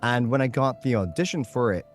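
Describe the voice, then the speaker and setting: A man's voice: mid-range voice, lively intonation, a little fast, faint music behind. Theatrical male voice actor in casual conversation. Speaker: interview guest, voice actor. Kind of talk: casual, playful interview chat.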